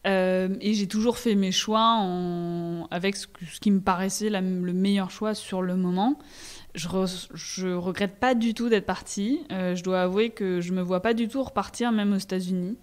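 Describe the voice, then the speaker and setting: A young woman with a French accent speaks as a guest in a casual interview. Her voice sits at a middle pitch. She is candid and conversational, often pausing to find the right word. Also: steady tone. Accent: French from France. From France. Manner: hesitant, searching for words, candid.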